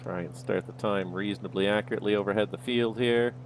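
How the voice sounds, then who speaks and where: A man's voice: fairly low pitch, fairly even intonation, fast talker, a little hiss or hum. A man streaming a flight sim, casually talking himself through each step of the flight as he goes. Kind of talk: livestream commentary, thinking aloud.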